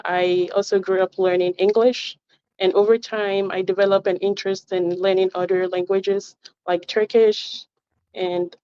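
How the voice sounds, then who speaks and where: Earnest woman, smooth voice. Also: mid-range voice, a little mellow, no room sound. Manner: earnest, careful, with frequent fillers. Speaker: language tutor. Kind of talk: interview answers. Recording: dull, muffled audio.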